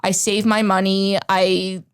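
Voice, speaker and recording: A woman's voice: mid-range voice, measured pace, clear, resonant tone. A woman in her twenties on a podcast, talking casually and emphatically, with many fillers. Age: 20s. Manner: animated, filler-heavy, emphatic. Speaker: podcast co-host. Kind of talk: casual chat.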